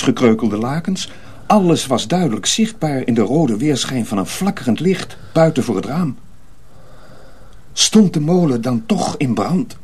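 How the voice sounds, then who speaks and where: A man narrating a radio drama as a scripted story, in an expressive, suspenseful storytelling manner. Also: medium pitch, a little hiss or hum.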